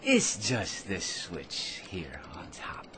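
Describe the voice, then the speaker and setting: Tipsy rambling blowhard, lively swoops. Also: male voice, sits low, takes it slowly, background noise, exaggerated voice. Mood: scheming, gleeful. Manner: rambling, stammering, wheedling, mock-polite. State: drunk. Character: tipsy, rambling, cheeky barroom blowhard man, self-amused schemer. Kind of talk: old-time radio drama acting. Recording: music behind radio drama dialogue.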